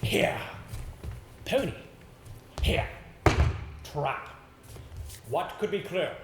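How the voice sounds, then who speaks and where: A man acting in an amateur stage play as an earnest, confident young gentleman, formal, deliberate and declamatory. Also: on the high side, animated. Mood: persuasive. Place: indoor theatre stage. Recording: echoey stage recording with background hiss.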